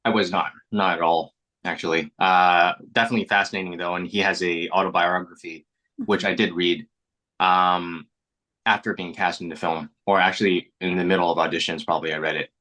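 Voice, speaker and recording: Low-voiced man, bright earnest tone. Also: steady tone, fairly quick, rough recording. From Canada. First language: Mandarin. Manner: reflective, earnest, frequent restarts and repeated words. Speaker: actor being interviewed. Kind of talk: casual interview chat.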